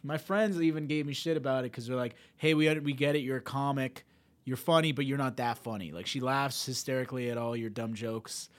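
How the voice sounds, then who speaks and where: A man's voice: medium pitch, fairly quick. A man in his thirties, a comedian, in casual podcast chat, laughing often. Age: 30s.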